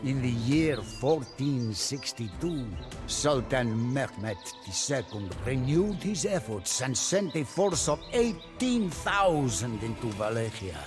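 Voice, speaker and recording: A man's voice: mid-range voice, big pitch swings, slow pace, music in the background. A man playing a solemn traveler who narrates a grim history in a grave, theatrical storytelling style. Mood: foreboding. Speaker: campaign narrator. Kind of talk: dramatic scripted storytelling.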